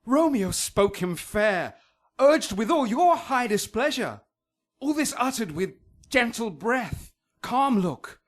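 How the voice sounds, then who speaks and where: A man as a high-voiced, anxious young peacemaker. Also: sing-song, slow pace, heavily acted. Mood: earnest reporting. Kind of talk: Shakespearean radio drama acting.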